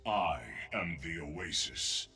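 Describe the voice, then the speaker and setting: A man voicing a proud, commanding bird emperor of the desert in a video game, declaiming in a stately, pompous way with a raspy voice. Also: sits low, lively intonation, slow, bright, forceful tone, soft background music, exaggerated voice. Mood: regal pride. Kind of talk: video game character voice lines.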